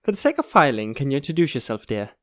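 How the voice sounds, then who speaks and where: A woman's voice: rumbling low, fast talker, slight background noise. A woman playing a polite, encouraging school interviewer in an audio drama, formal yet reassuring. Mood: kindly, encouraging. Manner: formal but reassuring, expressive.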